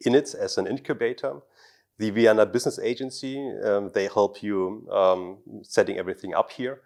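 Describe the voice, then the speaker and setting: Man, thirties, from Germany, deep low voice, interview answers, halting phrasing, lapel mic indoors. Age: 30s. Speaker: doctor and startup co-founder. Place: office meeting room indoors.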